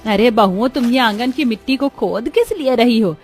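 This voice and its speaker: A woman's voice: on the high side, animated, moderate pace, darkish tone, natural voice. Woman voicing a blunt old village mother-in-law, music behind. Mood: curious, fond. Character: bossy old village mother-in-law, scolding yet fond.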